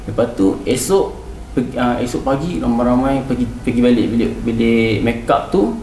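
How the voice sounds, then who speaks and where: A man's voice: medium pitch, expressive, normal speed, darkish tone, a little hiss or hum. A young Malaysian man telling a story casually, acting out bits of dialogue and repeating phrases as he goes. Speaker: podcast guest telling a ghost story. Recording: roomy, distant mic, music underneath. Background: music.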